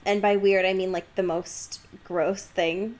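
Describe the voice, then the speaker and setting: A woman's voice: mid-range voice, steady tone, unhurried, darkish tone. A woman co-hosting a podcast, discussing a book in a casual, slangy, emphatic way with exasperated asides. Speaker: podcast co-host.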